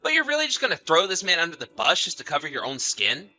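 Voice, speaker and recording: A man's voice: on the high side, wildly swooping pitch, quick pace, pushed and bright, soft background music, exaggerated voice. A man playing a betrayed husband in an acted audio drama, bitter, sarcastic and accusatory. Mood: angry, accusing. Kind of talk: acted audio story dialogue and narration.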